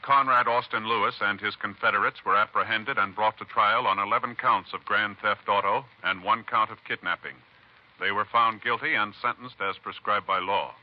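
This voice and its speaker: A man working as a radio announcer, reading formal scripted narration in a measured, solemn 1950s style. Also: lowish voice, fairly even intonation. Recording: dull vintage radio recording.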